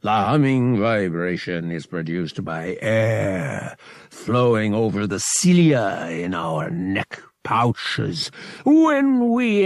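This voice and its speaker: Alien high priest, audiobook, performed by a man: pompous, self-satisfied, slow, pitch swooping upward. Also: no echo, studio quality, exaggerated voice. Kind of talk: audiobook character dialogue.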